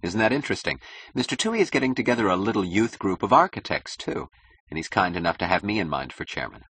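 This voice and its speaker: A man reading a novel as an earnest young male architect who flatters and is easily offended. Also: deep voice, quick pace, breathy edge, no echo, full character voice. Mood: eager, puzzled. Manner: flattering, earnest, indignant when rebuffed.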